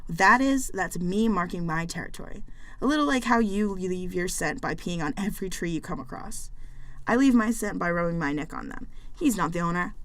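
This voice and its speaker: A woman voicing an aloof, sarcastic pet cat in an animated dialogue, with a dry, dismissive way of talking. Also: fairly low pitch, brisk, no echo, lightly acted. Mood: smug.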